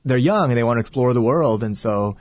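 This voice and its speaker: A man who is a priest, talking earnestly and reflectively in an interview and sometimes pausing to search for words. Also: mid-range voice, animated, darkish tone, no room sound. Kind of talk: casual interview talk.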